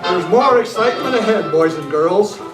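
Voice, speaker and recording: High-voiced old-time radio announcer played by a man. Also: animated, moderate pace, music in the background. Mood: upbeat selling. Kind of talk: 1940s children's radio serial narration and sponsor pitch. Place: club meeting room, far camera. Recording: echoey, distant camera sound, faint noise.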